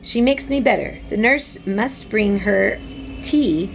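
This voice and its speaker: A woman's voice: medium pitch, takes it slowly, creaky, a little hiss or hum, rough recording. A woman voicing an imperious, self-assured sickly ten-year-old boy in a storybook, giving orders in a commanding, unbothered way. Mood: insistent.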